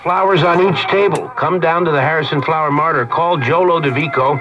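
A man's voice: on the high side, fairly even intonation, brisk. A man reading a radio advertisement, heard through a vintage radio speaker. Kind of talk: radio ad read. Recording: dull vintage radio speaker, music behind.

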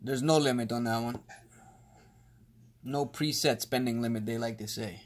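A man's voice: medium pitch, normal speed, slight background noise. A man talking casually on a phone call, pleading with naive-sounding worry. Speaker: prankster call recipient playing along with a scammer.

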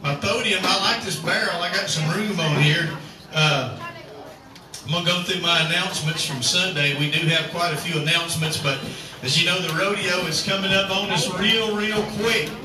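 A man's voice: sits high, normal speed. A man, a cowboy church pastor giving folksy announcements and a sermon outdoors, calling on the crowd to answer. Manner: folksy, chatty, calls for crowd response. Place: outdoor rodeo arena at sunset. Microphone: far camera across the arena. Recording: echoey outdoor arena from far off, noisy.

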